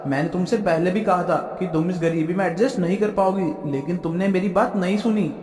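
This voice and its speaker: A man's voice: sits high, a little fast, close to an everyday voice. A man voicing a loyal, humble young husband in a cartoon story, speaking firmly and steadily. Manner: firm, steady. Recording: echoey room with long reverb.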